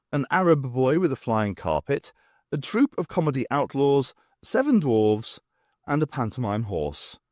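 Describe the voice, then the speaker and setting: Animated male audiobook narrator, close dry sound. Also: medium pitch, no echo. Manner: expressive, dramatic storytelling. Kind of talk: scripted fiction narration with character voices.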